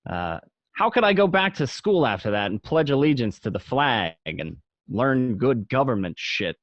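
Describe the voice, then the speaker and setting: A man playing a cocky young wise guy looking back on his mobster youth in an amateur radio play, talking colloquially and a little haltingly. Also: medium pitch, big pitch swings, no room sound, muffled recording. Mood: defiant. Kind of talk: first-person narration in an amateur radio play.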